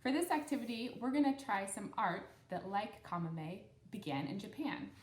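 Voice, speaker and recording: A woman in her thirties speaks to children in simple, encouraging language, as a storytime host and craft teacher would. Her medium-pitched voice is recorded in a roomy space with noticeable echo. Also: lively intonation, moderate pace, a little mellow. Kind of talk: read-aloud story and step-by-step craft instruction for kids. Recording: roomy, echoey room sound.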